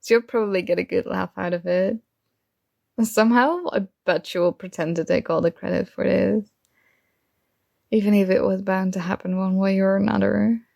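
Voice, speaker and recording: A woman's voice: mid-range voice, steady tone, normal speed, vocal fry, darkish tone, no echo, lo-fi sound. A woman acting a young roommate who is shy, teasing and smitten with a friend, in a playful, conversational ASMR roleplay.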